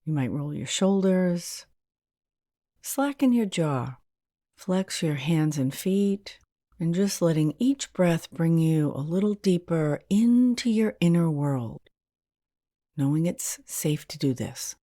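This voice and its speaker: A woman leading a guided meditation as a hypnotherapist, in a soothing, gentle and unhurried manner. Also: low-pitched, animated, no echo, studio quality.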